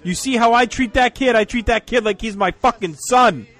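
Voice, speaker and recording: A man's voice: very high voice, moderate pace, a bit bright, soft background music. A man playing a brash, threatening wrestling promoter in a comedy sketch, cocky and combative.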